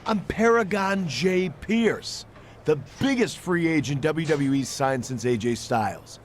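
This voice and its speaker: A man's voice: fairly high pitch, expressive, unhurried, a little hiss or hum. A man playing an arrogant star wrestler in a video game cutscene, condescending and scornful. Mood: indignant, boastful. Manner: cocky, condescending.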